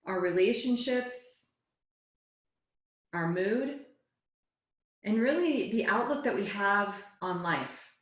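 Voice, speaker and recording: A woman yoga teacher guiding a relaxation and meditation class in a soothing, unhurried way, phrase by phrase. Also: medium pitch, fairly bright tone. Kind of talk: guided relaxation and meditation instruction. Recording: echoey, roomy sound.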